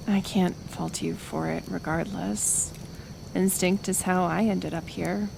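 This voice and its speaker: A woman playing a kind, stubborn forest healer in an ASMR roleplay, speaking gently and hesitantly, caring but persistent. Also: fairly low pitch, fairly even intonation, slow, vocal fry, background noise, full character voice. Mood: reflective. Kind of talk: soft-spoken ASMR roleplay acting.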